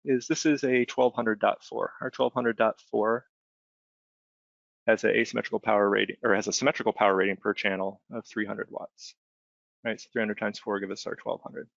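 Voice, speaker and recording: A man with a heavily fry-laden, lowish voice walks through software like a trainer on a webinar. He explains each step in a conversational way, tossing in little check-in questions. The sound is close and dry, slightly dulled. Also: steady tone, a little fast, no echo. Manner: conversational, explanatory, frequent check-in tags like "right?". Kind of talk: informal step-by-step software demonstration. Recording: dull, band-limited close dry voice recording.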